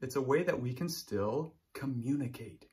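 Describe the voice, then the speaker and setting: A man's voice: medium pitch, animated, normal speed, a little mellow. A man in his thirties, a teacher reading aloud and explaining things to children expressively, often checking in with listeners. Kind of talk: children's read-aloud lesson. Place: small room indoors.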